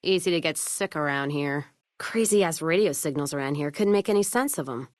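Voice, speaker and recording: An American woman playing a jaded, hard-drinking, foul-mouthed caravan trader in a video game, with a gruff, sardonic, folksy manner. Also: low voice, fairly quick, no echo, studio quality, natural voice. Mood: wry, wary. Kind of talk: video game companion voice lines.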